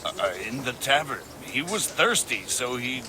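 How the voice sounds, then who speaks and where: A man's voice: mid-range voice, sing-song, normal speed, pushed and bright. A man voicing a sheepish, hesitant gate guard in a medieval video game, evasive in manner. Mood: nervous, evasive. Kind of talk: dramatic game cutscene dialogue. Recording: clear background ambience noise.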